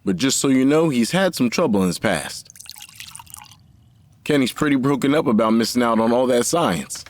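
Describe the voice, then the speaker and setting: A man acting as a worried father in an audio drama. He speaks gravely, with halting phrases. His middle-pitched voice rises and falls expressively. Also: animated, natural voice.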